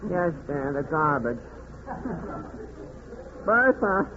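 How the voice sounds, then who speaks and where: An actor playing a timid, henpecked bookkeeper husband in a 1940s radio comedy, meek and halting in his delivery. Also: on the high side, big pitch swings, full character voice. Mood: meek, resigned. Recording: noisy, phone-like old 1940s radio recording.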